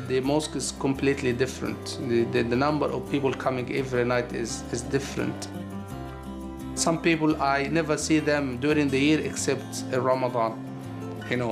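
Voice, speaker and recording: Steady explaining man over guitar music. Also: mid-range voice. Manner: explanatory, steady, some repetitions. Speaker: project coordinator of a mosque. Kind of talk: documentary interview. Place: indoors and outside a house.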